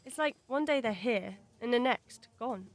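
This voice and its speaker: A young woman acting a caring, sympathetic teenage schoolgirl in a scripted audio drama, speaking gently and supportively. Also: fairly high pitch, normal speed, a little hiss or hum, natural voice.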